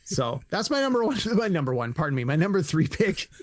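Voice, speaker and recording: A man co-hosting a podcast in casual chat, animated, acting out imagined dialogue as he talks. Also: a little high, brisk, no room sound. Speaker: podcast co-host.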